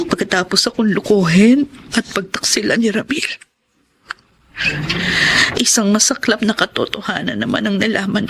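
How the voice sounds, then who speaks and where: An actress playing a betrayed, jealous wife, heartbroken and bitter yet still in love, in an intense, emotional radio drama style. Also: female voice, medium pitch, creaky, a bit bright. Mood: anguished brooding. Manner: emotional, intense, anguished delivery. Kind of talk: melodramatic Tagalog radio drama acting.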